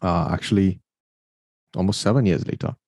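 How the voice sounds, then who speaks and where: A man's voice: deep voice, steady tone, normal speed, a little mellow, dry recording, studio quality. A man hosting an interview, talking thoughtfully and telling stories, often repeating words as he starts his sentences. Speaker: podcast host. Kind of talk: casual interview conversation.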